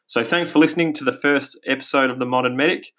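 A man's voice: medium pitch, fast, a bit bright. Upbeat Australian man hosting a podcast. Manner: upbeat and eager, loose with fillers and restarts. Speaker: podcast host. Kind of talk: casual solo podcast monologue. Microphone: home podcast mic, basic quality.

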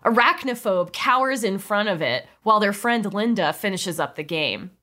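Bright-voiced woman narrating a comedy script. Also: medium pitch, lively intonation, moderate pace. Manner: playful, breaking into amused asides. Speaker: narrator of a script table read.

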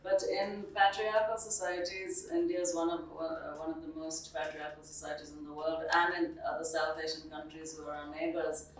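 A middle-aged woman with a British accent talks in a low, even voice, measured and slightly formal. She sounds set back from the mic in a very roomy space. A faint ambient hum sits behind her. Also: recorded from a distance. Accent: British English. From born in Zambia, schooled in the UK. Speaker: guest, dean and professor. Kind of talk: interview conversation.

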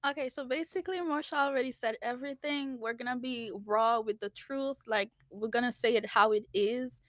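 A woman's voice: a little high, fairly quick, no room sound. A woman in her twenties from Haiti, co-hosting a podcast in a casual, blunt, matter-of-fact chat. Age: 20s. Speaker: podcast co-host.

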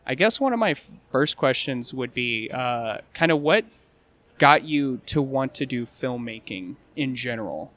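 A man hosting a film podcast, asking loose, relaxed questions with fillers. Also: medium pitch, a little slow. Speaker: podcast host. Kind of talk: casual interview.

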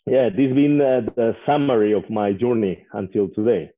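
A man in his forties from Spain, an English speaker who pauses often with "uh" as an interview guest. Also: mid-range voice. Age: 40s. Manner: hesitant, frequent "uh" fillers. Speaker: guest, investor. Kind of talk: casual interview. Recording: tinny phone-like line.

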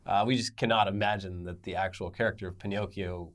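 A man's voice: deep voice, steady tone, quick pace. A man co-hosting an entertainment news show, giving wry, conversational commentary and reading news and sponsor copy casually.

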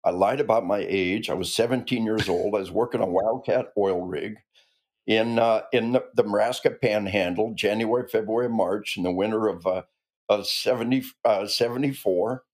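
Man, 60s, from the Midwest, lower voice, emphatic, conversational guest. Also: moderate pace, a little mellow. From American Midwest. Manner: earnest and emphatic, with frequent restarts. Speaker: guest, retired army officer and author. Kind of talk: conversational interview.

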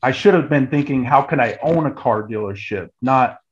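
A man's voice: mid-range voice, moderate pace, highs cut off. An American man in his early forties telling his story in a casual, conversational way, often restarting and repeating phrases mid-thought. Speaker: guest, real estate agent.

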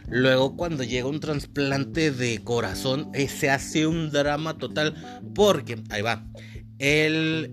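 A man with a Mexican accent hosting a casual solo podcast, chatty and informal, with frequent fillers and restarts. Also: mid-range voice. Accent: Mexican Spanish. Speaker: podcast host.

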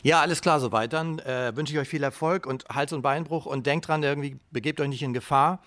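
A man playing a brisk boss giving orders in an amateur school radio play. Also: fairly high pitch, a bit bright, dry recording, natural voice. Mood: brisk, urging. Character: brisk adult boss sending helpers on a mission.

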